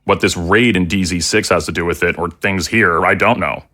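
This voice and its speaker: An actor playing a grave, guarded older general in an audio drama, speaking in a formal, measured, confiding way. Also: male voice, low-pitched, sharp tone. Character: grave, guarded older male military general, quietly loyal.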